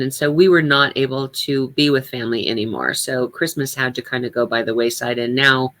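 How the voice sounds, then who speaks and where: A woman's voice: rumbling low, a bit bright. An older woman giving a reflective personal talk, speaking candidly and conversationally with emphasis on her points.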